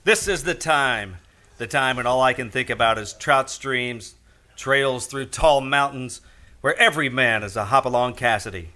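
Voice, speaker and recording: An actor playing a wisecracking, world-weary private detective in a radio drama, with a wry, clipped, sardonic delivery. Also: male voice, mid-range voice, normal speed, bright, forceful tone, close to an everyday voice. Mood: wistful narrating.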